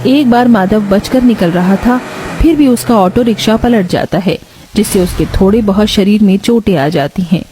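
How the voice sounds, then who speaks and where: A woman's voice: medium pitch, normal speed, mellow, slight background noise. A woman reading a scripted moral story as its narrator.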